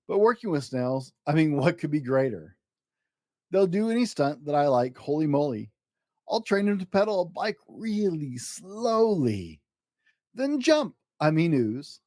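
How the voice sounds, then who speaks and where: A man's voice: fairly high pitch, no echo, studio quality. A man voicing an exuberant, boastful eight-year-old schoolboy in a theatrical, rhyming picture-book story. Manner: excitable, grandiose, theatrical.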